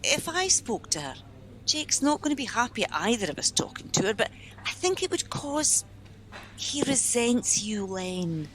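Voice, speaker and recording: Flustered helpline lady in a radio play, high lively voice, hesitant, some hiss. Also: normal speed, rough recording. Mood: confiding. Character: flustered, well-meaning female helpline office worker. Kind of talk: naturalistic radio play acting.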